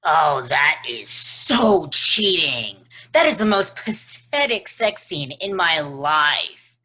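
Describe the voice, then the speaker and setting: A woman's voice: low voice, sing-song, slow, sharp tone, exaggerated voice. A woman acting a brash, dramatic young man who turns paranoid and confrontational, in a table reading of a stage play. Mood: mocking.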